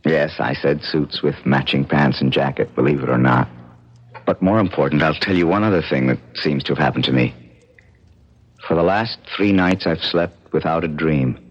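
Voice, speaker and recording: An actor with an American accent playing an excitable, haunted struggling painter in a radio drama, in a theatrical manner. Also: male voice, very deep voice, moderate pace, slightly rough. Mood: calm, relieved. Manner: emotional, theatrical. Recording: dull lo-fi vintage radio recording.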